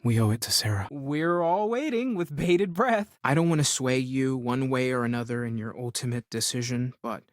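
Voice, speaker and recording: A man's voice: medium pitch, animated, moderate pace, hardly any pauses, dry recording, clean studio sound, close to an everyday voice. A man playing an earnest, curious theological scholar turned space explorer in a video game, thoughtful and articulate. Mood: solemn, consoling. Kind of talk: video game character dialogue.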